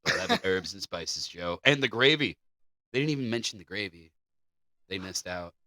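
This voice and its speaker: Guy podcast cohost reading stories with casual banter, crisp bright edge, close dry sound. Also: low-pitched, lively intonation, moderate pace, dry recording. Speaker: podcast co-host.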